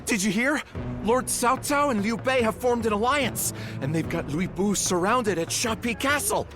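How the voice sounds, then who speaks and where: A man playing an excitable, gossipy commoner in a video game, talking colloquially about war news. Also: sits high, moderate pace, over music. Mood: excited. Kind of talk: dramatic game dialogue.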